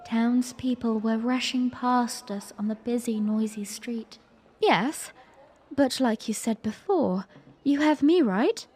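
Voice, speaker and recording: A woman's voice: a little high, a little mellow. A woman playing a young, playful, romantic princess who tells her story in a genteel, tender way in a fan audio drama. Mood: playful, warm.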